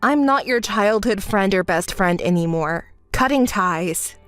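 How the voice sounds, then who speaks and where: A woman voicing a calm, fed-up young woman who answers in a level, dry, scolding way in a dramatized story. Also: medium pitch, a little slow, clean tone, no room sound, faint music behind, voice pushed down, close to an everyday voice. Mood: cool.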